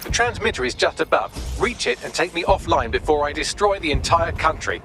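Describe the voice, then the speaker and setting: An actor playing a sardonic, unflappable artificial intelligence in a video game, with a deadpan and articulate delivery. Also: male voice, fairly high pitch, brisk, creaky. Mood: calm instructing. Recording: music under the voice.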